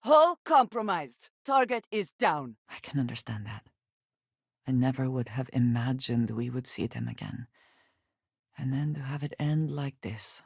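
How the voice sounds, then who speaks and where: A guarded woman space explorer from a video game. Her speech is formal, serious and measured, with few contractions. The recording is close and very dry. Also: very deep voice, very animated, slightly rough, no room sound, exaggerated voice. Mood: urgent, combative, then troubled, subdued. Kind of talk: scripted video game companion dialogue.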